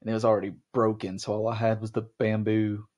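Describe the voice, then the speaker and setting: A man's voice: lowish voice, level tone, fast, a little mellow. Rambling young man podcast host. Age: young adult. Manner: rambling, many ums and restarts. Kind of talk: casual chat with his wife.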